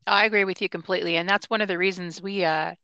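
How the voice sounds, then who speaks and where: Upbeat lower-voiced American woman host. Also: steady tone, quick pace, sharp tone, dry recording, dull audio. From United States. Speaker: podcast host. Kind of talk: podcast interview hosting.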